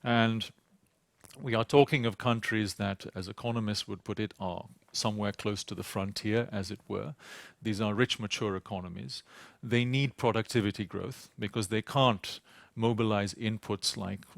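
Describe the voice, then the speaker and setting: A man with a British accent giving a conference panel talk, hesitant and halting, often repeating words and pausing on "uh". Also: lowish voice, steady tone, creaky, no echo. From Sri Lanka. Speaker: panel speaker. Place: conference venue.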